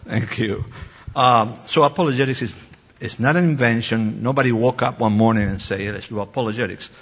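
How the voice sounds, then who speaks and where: A man's voice: mid-range voice, fairly quick, breathy edge. A man with a Spanish accent and native Spanish, explaining as an expert panelist in an informal, self-deprecating way. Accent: Spanish-speaking. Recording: dull muffled recording in reverberant hall.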